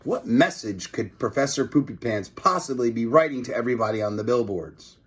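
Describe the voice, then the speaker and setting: A man's voice: medium pitch, expressive, a little fast, fairly bright tone, slightly rough. A man reading a children's book aloud like a teacher, with casual asides to his listeners.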